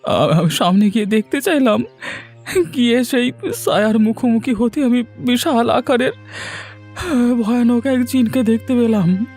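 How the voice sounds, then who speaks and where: A man's voice: very high voice, measured pace, a little mellow, soft background music. A man voicing a frightened, stammering village man in a cartoon, breathless and strained. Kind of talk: cartoon voice acting.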